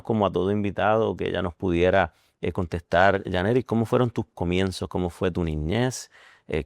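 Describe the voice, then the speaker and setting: Deep gravelly man pastor. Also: dry recording, studio quality. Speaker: pastor and co-host. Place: TV studio.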